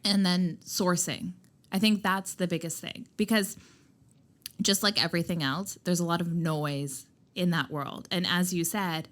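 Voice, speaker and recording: Loud, emphatic woman business owner. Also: fairly low pitch. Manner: loud, emphatic, laughs easily. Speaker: skincare brand founder, guest. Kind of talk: casual interview conversation. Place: Nova Scotia, Canada.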